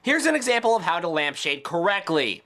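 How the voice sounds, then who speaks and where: A man's voice: sits high, big pitch swings, fairly quick, sharp tone. A man delivering scripted review commentary with opinionated, sarcastic asides. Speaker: video reviewer.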